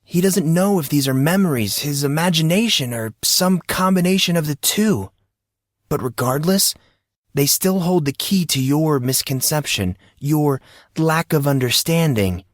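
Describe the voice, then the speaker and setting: Man voicing an intense, troubled teenage boy, pitched up. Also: expressive, unhurried, dry recording, studio quality. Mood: anguished confession. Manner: impassioned, dramatic.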